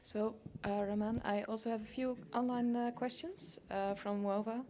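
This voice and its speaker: A woman moderating a conference Q&A session, briskly reading out online questions and calling on the room. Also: fairly high pitch, fairly even intonation, dark tone. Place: conference hall.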